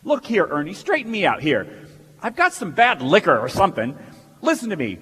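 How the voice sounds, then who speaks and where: A man's voice: high voice, wildly swooping pitch, a little fast, a bit bright, husky, heavily acted. An actor playing a bewildered, agitated family man in a stage radio play, insistent and stammering. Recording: reverberant hall stage recording.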